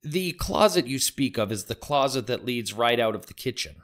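A methodical prosecuting lawyer played by a man in an audio courtroom drama. His pitch moves in a lively way as he puts formal, probing questions. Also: moderate pace, lightly acted.

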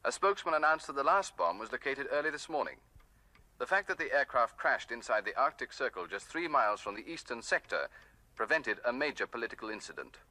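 An actor playing a formal, measured radio newsreader in a 1960s puppet series. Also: male voice, mid-range voice, big pitch swings, sharp tone. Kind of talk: 1960s scripted news bulletin.

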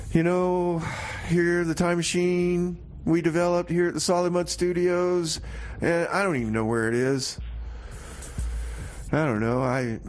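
A man playing a glum, self-pitying, hapless radio DJ who talks in a halting, dejected, repetitive way on a comedy music show. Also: a little high, lightly acted. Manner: halting, dejected, sighing, repeating himself. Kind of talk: comedy radio theater DJ patter.

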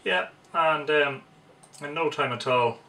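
An Irish man in his forties giving unhurried live commentary as he operates a radio at home, muttering to himself as he works. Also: on the high side. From Ireland. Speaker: amateur radio operator demonstrating. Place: small home radio room, indoors. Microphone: camera a couple of metres away. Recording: distant camera mic, loud background hiss.

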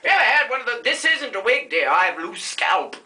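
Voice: medium pitch, very animated, a little fast, reverberant, noisy background, lightly acted. A performer playing a vain, aging female film star who rambles and drops names in a grand diva manner, in a comedy makeup-tutorial sketch. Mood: campy.

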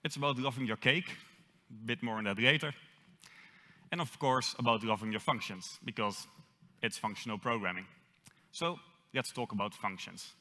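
Man, Dutch accent, young adult, conference speaker, self-deprecating humor, bright voice, reverberant hall. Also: mid-range voice, normal speed, pauses often. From Netherlands. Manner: self-deprecating, lightly joking. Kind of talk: tech conference talk. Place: large auditorium stage.

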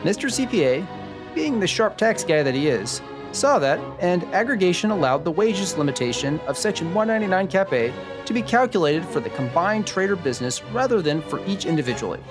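A man playing a genial, sharp tax expert in a scripted noir radio drama, upbeat and explanatory. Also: high-pitched, very animated, fairly quick, music in the background. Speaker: podcast host acting in a radio drama.

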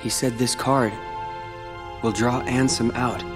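An actor playing a calm, determined teenage boy hero in a video game, with a dry, brooding way of talking. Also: mid-range voice, slow, close to an everyday voice. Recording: game audio with reverb and loud music.

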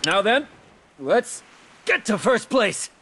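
A man voicing an excitable, goofy young vacationer in an anime mobile game, with exaggerated comic delivery. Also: high-pitched, takes it slowly, a little hiss or hum, exaggerated voice. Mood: eager. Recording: music behind the voice.

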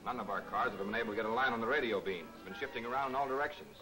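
An actor playing a level-headed police lieutenant in an old 1940s film serial. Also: male voice, medium pitch, rapid-fire, close to an everyday voice, low-quality recording. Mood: steady. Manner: steady and matter-of-fact, urgent when shouting. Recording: 1940s film soundtrack with music.

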